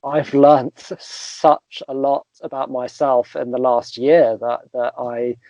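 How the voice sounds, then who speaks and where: A young man, a coach guest in an interview, talking thoughtfully with many pauses, fillers and restarts. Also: mid-range voice, fairly even intonation, darkish tone, dry recording, lo-fi sound. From England. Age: young adult. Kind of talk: casual interview chat.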